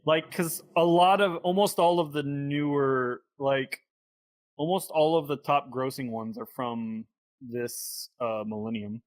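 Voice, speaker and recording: A man co-hosting a podcast in casual conversation, with halting, hedging phrasing. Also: medium pitch. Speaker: podcast co-host. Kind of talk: casual chat.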